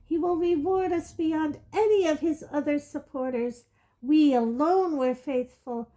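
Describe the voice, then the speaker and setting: A woman voicing a fanatical woman prisoner making a slow, menacing declaration. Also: high voice, mellow, pitched above the performer's own voice. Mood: defiant.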